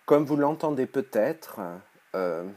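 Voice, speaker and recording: A man with flu and a hoarse voice giving a recorded conference talk as a professor, hesitant, with many fillers and restarts in a critical lecturing manner. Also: medium pitch, lively intonation, slow, a little mellow. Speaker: university professor.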